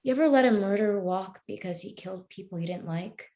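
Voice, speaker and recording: A woman acts out a troubled, probing woman in a staged play. Her voice sits at a middle pitch. She questions earnestly and keeps pressing. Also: quick pace, mellow, lightly acted. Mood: accusing. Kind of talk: live staged play reading.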